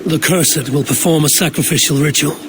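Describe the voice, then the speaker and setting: An actor plays a stoic Viking warrior in a dramatic game scene. The man's voice is a little high, and his delivery is terse and direct. There is faint ambient noise behind him. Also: a little fast, lightly acted. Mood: determined. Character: stoic, blunt Viking warrior, questioning and determined. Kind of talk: dramatic video game cutscene acting.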